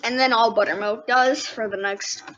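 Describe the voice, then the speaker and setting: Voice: mid-range voice, steady tone, normal speed, dull audio, noisy background. A ten-year-old child host reading a storybook aloud, with casual asides to the listeners. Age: 10 years old.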